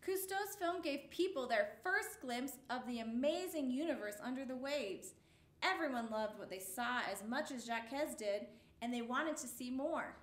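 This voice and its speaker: High-voiced woman reading a children's story. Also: echoey room. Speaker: storyteller reading a picture book aloud. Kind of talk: children's storytime read-aloud.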